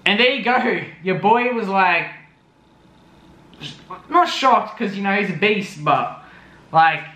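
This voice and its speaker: Young guy, vlog voice-over, high and bright, rambling, roomy echo. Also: lively intonation, takes it slowly. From Australia. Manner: rambling, lots of fillers. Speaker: vlogger. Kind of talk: casual voice-over recap.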